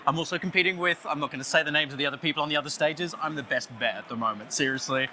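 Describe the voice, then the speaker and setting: A man with an Australian accent giving a playful conference talk, loudly joking and chatting with the audience. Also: fairly high pitch, racing, a little hiss or hum. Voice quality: loud voice. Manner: jokey, playful, chatty with the crowd. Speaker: conference speaker. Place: conference stage, audience on headphones.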